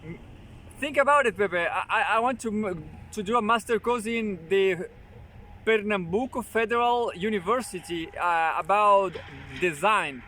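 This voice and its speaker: A man hosting his own channel, casually reading an article aloud with halting restarts and joking asides. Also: high voice, pushed and bright, slight background noise.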